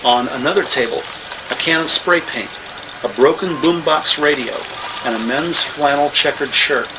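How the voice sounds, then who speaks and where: An actor narrating a police procedural audio drama as a measured, factual male storyteller. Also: a little high, noisy background, natural voice.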